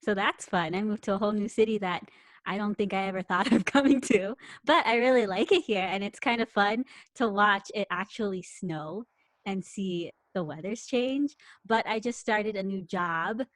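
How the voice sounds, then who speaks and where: A woman's voice: mid-range voice, normal speed, no echo. A woman in her twenties with an American accent, chatting casually in an upbeat way. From US, San Francisco Bay Area. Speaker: podcast guest, podcaster. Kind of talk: casual chat.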